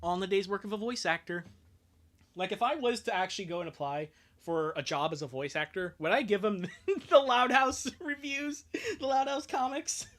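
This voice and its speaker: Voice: mid-range voice, normal speed, fairly bright tone. A live-stream dub performer speaking casually between readings. The commentary is sarcastic and jokey, with loose swearing thrown in.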